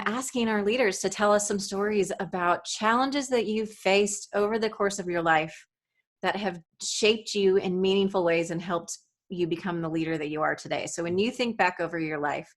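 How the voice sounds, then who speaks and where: Enthusiastic woman hosting a podcast. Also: mid-range voice. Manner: enthusiastic, giggly. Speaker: podcast host. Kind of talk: podcast interview.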